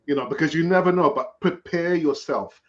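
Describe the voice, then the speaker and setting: A man's voice: a little high, brisk. A man giving advice in a casual panel chat, repeating key words for emphasis. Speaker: panel speaker. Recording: dull, muffled sound.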